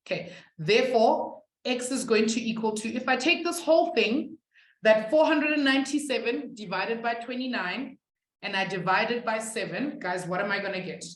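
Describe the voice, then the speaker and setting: A woman's voice: a little high, expressive, moderate pace, roomy sound, low-quality recording. A young woman who is not a native English speaker, teaching a live online maths class from a webcam and chatting with students. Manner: chatty, reads out student chat, laughs at herself.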